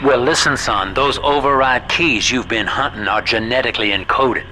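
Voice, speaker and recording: A man's voice: mid-range voice, normal speed, noisy background, lightly acted. An actor playing a folksy, fatherly, plain-spoken guide who gives advice to the hero of a video game. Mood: instructive. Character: folksy male ally and guide, paternal, plain-spoken, shrewd.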